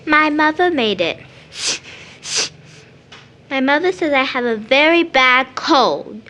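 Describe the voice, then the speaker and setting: Sweet high-pitched little girl. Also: animated, slow pace, sharp tone, muffled recording, full character voice. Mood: eager, sweet. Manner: earnest, childlike, pleading. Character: sweet eager little girl, imaginative and adoring. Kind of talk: stage play audio drama acting.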